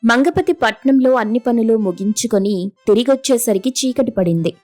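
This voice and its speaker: A woman's voice: on the high side, expressive, moderate pace, darkish tone, faint music behind. A woman storyteller narrating a scripted moral tale.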